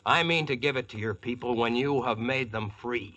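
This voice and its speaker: An actor playing a confident, plain-spoken American Yankee engineer in old-time radio drama style. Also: male voice, mid-range voice, normal speed, sharp tone, a little hiss or hum, close to an everyday voice. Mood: earnest. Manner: plain-spoken, folksy, self-assured. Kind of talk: 1940s radio acting, narration and dialogue. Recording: old radio drama recording, music behind.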